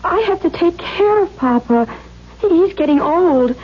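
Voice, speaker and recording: High-voiced, timid younger sister. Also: female voice, animated, a little slow. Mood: anxious, bewildered. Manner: hesitant, stammering, anxious. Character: timid, beaten-down younger sister and dutiful daughter, around thirty. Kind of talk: 1970s radio drama acting. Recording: dull vintage radio recording.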